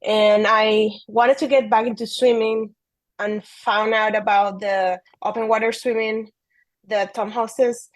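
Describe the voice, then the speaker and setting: A woman in her thirties from Peru, speaking English as an as a podcast guest, soft-spoken and hesitant with frequent ums and light laughs. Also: on the high side, flat delivery, a little slow, no room sound. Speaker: guest athlete. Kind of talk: casual interview.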